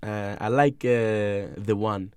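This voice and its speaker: A man's voice: lowish voice, steady tone, takes it slowly, a little mellow, smooth, dry recording. A young man from Tanzania, a musician being interviewed, chatting casually and playfully, laughing often and rambling loosely. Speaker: musician guest.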